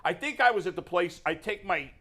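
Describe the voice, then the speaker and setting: A middle-aged man with a New York accent and a deep voice, though it sits a bit higher than most men's. He rants in loose, exasperated bursts as a talk show co-host. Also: fairly quick. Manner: animated, exasperated ranting. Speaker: sports radio co-host. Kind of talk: casual ranting chat.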